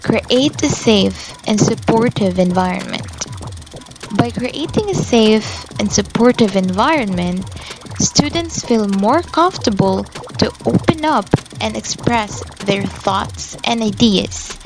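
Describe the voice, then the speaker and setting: A woman's voice: mid-range voice, lively intonation, takes it slowly, music in the background, poor audio. A woman reading scripted educational narration about points of advice, as a presenter.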